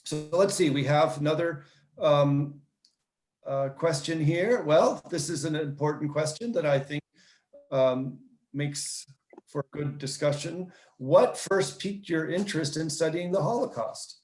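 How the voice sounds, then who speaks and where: A man moderating a question-and-answer session over a video call, thinking aloud and trailing off softly at the ends of his remarks. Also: on the high side, measured pace. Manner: thinking aloud, trails off with soft tags like "I guess". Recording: roomy video call audio.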